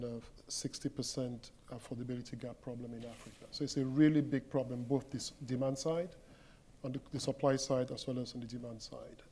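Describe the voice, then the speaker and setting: Emphatic man from Cameroon, flat mellow delivery. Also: mid-range voice, slight background noise. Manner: emphatic, rising loudness on key points. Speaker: panelist, consultancy managing partner. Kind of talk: conference panel remarks. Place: conference hall.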